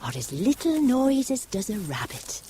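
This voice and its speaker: An actress playing a spirited, dry-witted aunt in a spy audio drama, with wry, teasing delivery. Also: female voice, on the high side, wildly swooping pitch, moderate pace. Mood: wry farewell. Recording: faint background noise.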